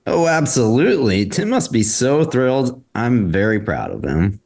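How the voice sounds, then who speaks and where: A man's voice: medium pitch, big pitch swings, moderate pace, darkish tone. A man acting a supportive, affectionate husband in a scripted table read. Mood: warm admiration.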